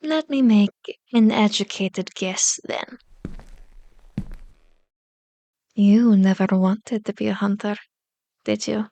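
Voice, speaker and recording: A woman playing a powerful elder vampire in an ASMR roleplay, seductive and taunting, with slow, deliberate, formally worded speech. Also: mid-range voice, creaky, warm tone, no room sound. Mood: curious, condescending. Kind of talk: ASMR roleplay, scripted monologue. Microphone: close microphone, dry recording.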